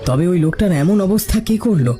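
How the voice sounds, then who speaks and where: A man's voice: high voice, expressive, fast talker, dark tone, faint music behind, pitched above the performer's own voice. A man playing a stubborn, commanding police officer who gives curt orders in a cartoon story. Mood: stern resolve.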